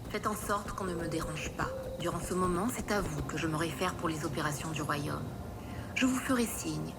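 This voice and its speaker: A woman's voice: sits low, normal speed, creaky, very noisy. A woman voicing a grave, commanding elder sister, a sun regent mare, in a fantasy audio drama. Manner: solemn, commanding, composed.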